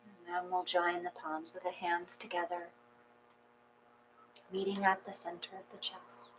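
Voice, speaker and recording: Calm low-voiced woman guiding meditation. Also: a little slow, a little hiss or hum, poor audio. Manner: calm, gentle, with soft agreeing murmurs. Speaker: meditation co-host. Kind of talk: guided meditation and casual chat.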